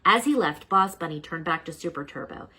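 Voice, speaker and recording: A woman reading a children's storybook aloud as an animated storyteller. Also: fairly low pitch, brisk, slightly rough. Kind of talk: expressive read-aloud narration.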